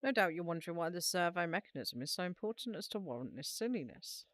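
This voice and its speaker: A woman's voice: lowish voice, very animated, fast, no room sound. A woman voicing an earnest, wordy engineer explaining machinery in a fantasy video game. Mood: earnest, explanatory.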